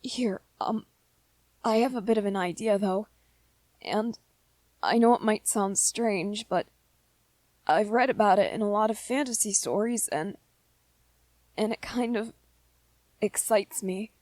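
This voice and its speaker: A woman voicing a shy, smitten young male vampire in a romantic ASMR roleplay, gentle and hesitant, often stammering over repeated words. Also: medium pitch, unhurried, long pauses, no echo, close to an everyday voice. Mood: shyly hopeful.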